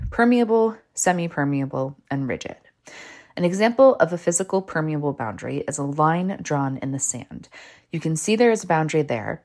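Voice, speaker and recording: A woman with a stuffed-up cold, hosting a training podcast and reading a scripted talk in a calm, measured way, slowing to stress key terms. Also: sits low. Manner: calm, measured explanation with slow, deliberate emphasis on key terms.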